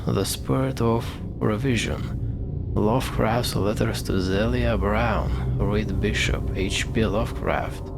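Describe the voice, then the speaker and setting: A man who streams games, reading on-screen text aloud in a halting way and commenting on it. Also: fairly low pitch, vocal fry. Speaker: game streamer.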